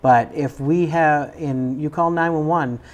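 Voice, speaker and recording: A man's voice: on the high side, steady tone, measured pace, a little mellow, dull audio. A man with an American accent explaining procedures in an unscripted interview, as a member of a fire department.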